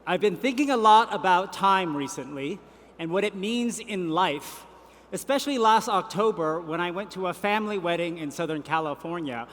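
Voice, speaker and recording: A man giving a prepared keynote speech on a conference stage, telling a story at a measured pace with deliberate pauses. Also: high-pitched, expressive, fairly bright tone. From Vietnam. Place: large conference hall. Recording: reverberant hall, faint ambience.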